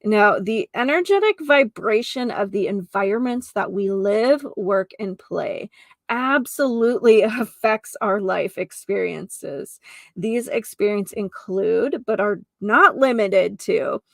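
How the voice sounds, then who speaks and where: A woman's voice: mid-range voice, animated, slow pace, gravelly, no room sound, studio quality. A woman hosting a solo healing podcast, speaking to listeners in an earnest, effusive manner and stressing key words. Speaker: host of a holistic healing podcast; geomancer and energy healer.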